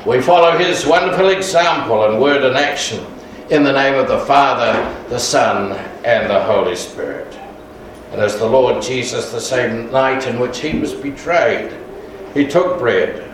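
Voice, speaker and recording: A man leading a communion service, speaking quietly and solemnly in prayer without a microphone. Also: medium pitch, unhurried. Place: large echoey church without a mic. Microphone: distant room recording. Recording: far-off voice in an echoey hall with noise.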